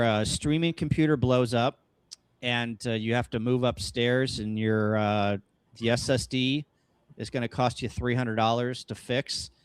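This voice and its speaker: An American man hosting a casual livestream, talking through ideas in halting, short phrases. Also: medium pitch, smooth, no echo. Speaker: host of a ham radio livestream. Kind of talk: casual livestream brainstorming talk. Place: upstairs room at home, kitchen table.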